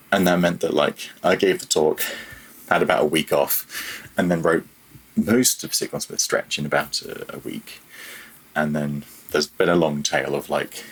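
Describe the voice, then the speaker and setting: A man with a deep, somewhat husky voice speaks as an interview guest. He explains things informally, wandering from one thought to the next in a casual conversational style. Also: rough recording. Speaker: podcast guest, audio programmer.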